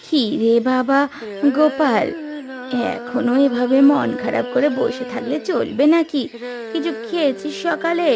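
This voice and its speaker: A woman's voice: sits high, unhurried, creaky. A woman voicing a nosy, meddling village aunt who talks in a coaxing, busybody way. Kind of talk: Bengali cartoon dubbing. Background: loud background music.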